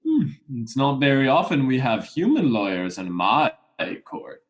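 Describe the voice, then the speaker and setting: A man's voice: medium pitch, huge pitch swings. Man acting as an officious fish judge. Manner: officious, measured. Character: formal, dry male fish judge presiding over court. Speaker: actor. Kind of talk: radio play acting.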